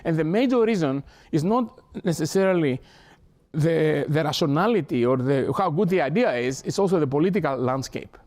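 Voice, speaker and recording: Greek-accented professor, halting and earnest. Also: male voice, on the high side, animated, darkish tone. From Greece. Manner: earnest, halting, with frequent restarts and repeated words. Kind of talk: academic talk. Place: lecture hall.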